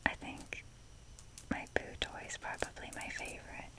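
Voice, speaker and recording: A young adult woman whispering in a breathy, casual, rambling ASMR style as she shows things off. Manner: unhurried, informal, rambling. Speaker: ASMR video creator.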